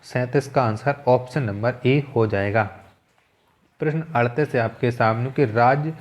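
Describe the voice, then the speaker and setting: A man's voice: mid-range voice, dull audio. A man teaching an exam quiz lesson, methodically reading out questions and repeating key answers.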